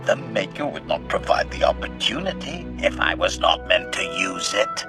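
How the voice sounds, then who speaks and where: An actor voicing a cold, bloodthirsty but loyal assassin droid in a video game, speaking formally and deadpan through a robotic filter. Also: male voice, sits low, normal speed, creaky, exaggerated voice. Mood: loyal, insistent. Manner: formal, clipped, announces each statement with a label. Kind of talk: scripted video game acting. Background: background music.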